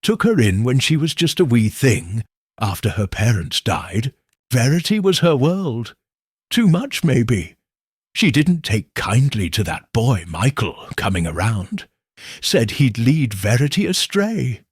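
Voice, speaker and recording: A man's voice: medium pitch, very animated, a little slow, slightly rough, no echo, clean studio sound. Man as folksy, confiding old housekeeper woman. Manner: folksy, confiding, dialect phrasing. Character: stout grey-haired woman housekeeper, loyal, sorrowful, confiding.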